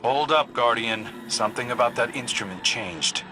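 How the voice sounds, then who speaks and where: A man playing a friendly, steady squad commander in a video game, plain-spoken and encouraging. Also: mid-range voice, moderate pace, noisy background, close to an everyday voice. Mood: focused.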